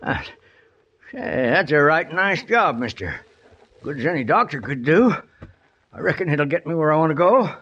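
An actor playing a wily, ornery old outlaw with a broken leg in a radio western, in a folksy American Western drawl with halting exclamations. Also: male voice, a little high, lively intonation, a bit bright, breathy edge. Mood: sly, halting. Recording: dull, noisy old radio recording.

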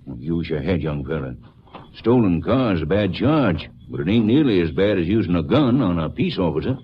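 An actor playing a stubborn older small-town Texas constable with plain, folksy country talk in an old radio crime drama. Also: male voice, deep voice, big pitch swings, moderate pace. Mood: stern, wary. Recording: muffled, noisy old radio recording.

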